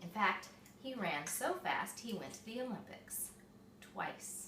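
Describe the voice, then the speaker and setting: A woman reading a picture book aloud to young children, expressive and explaining things simply, like a mother at storytime. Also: medium pitch, measured pace. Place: echoey room with small children present. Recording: echoey room, distant mic, faint noise.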